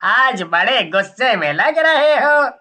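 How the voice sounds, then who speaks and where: Man acting a sharp, taunting snake-charmer villain. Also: very high-pitched, very animated, full character voice. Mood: mocking, gloating. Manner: taunting, drawn-out. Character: gloating, mocking male snake-charmer villain. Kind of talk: Hindi moral-story cartoon acting.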